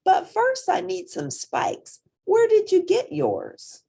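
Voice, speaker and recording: A woman's voice: very high voice, huge pitch swings, takes it slowly, gravelly, mellow, voice pushed up, heavily acted. A woman voicing a stubborn, curious young male hippo in a children's storybook, polite but determined. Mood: eager. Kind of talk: children's storybook read-aloud.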